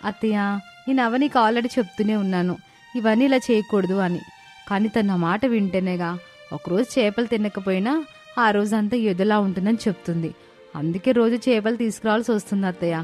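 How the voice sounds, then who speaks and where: A woman acts out a young, food-mad daughter-in-law from a cartoon family story. She is sly and conspiratorial, speaking expressively in a middle-pitched voice over music. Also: brisk, a little mellow, natural voice. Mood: defensive, bickering. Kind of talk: dramatized moral-story cartoon acting.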